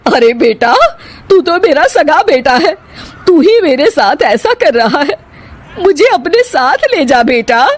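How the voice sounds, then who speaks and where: A woman's voice: high voice, very animated, slow, slight background noise, voice pushed up, heavily acted. A woman voicing a stern middle-aged mother-in-law in a cartoon story. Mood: pleading. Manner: stern, scolding.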